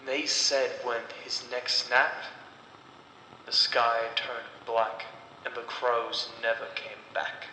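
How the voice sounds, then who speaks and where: A man voicing a bitter, defiant condemned woman in a horror story, speaking gravely with deliberate pauses. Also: mid-range voice, flat delivery, sharp tone. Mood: grim, defiant. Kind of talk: slow dramatic horror storytelling. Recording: echoey, long reverb, background noise.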